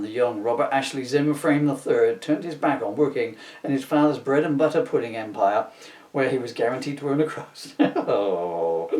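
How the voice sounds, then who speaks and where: A man's voice: mid-range voice, darkish tone. A man giving a comic scripted reading with wry, self-mocking asides. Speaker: author reading his own script. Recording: roomy echo, distant mic.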